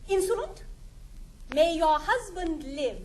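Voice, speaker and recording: A woman's voice: very high-pitched, expressive, slow, exaggerated voice. An actress playing a haughty, venomous lady schemer with a British accent in a 1960s classical stage comedy.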